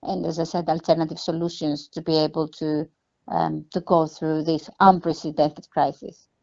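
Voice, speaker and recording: A woman with a fairly low voice gives earnest, measured interview answers as an executive. The sound is dull and lo-fi, as from a phone call. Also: level tone, creaky, a little mellow. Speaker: film production company CEO being interviewed.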